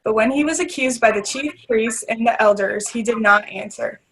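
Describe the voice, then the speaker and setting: A woman narrator giving a measured, formal reading of scripture for readers theater. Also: fairly high pitch, a bit bright, poor audio.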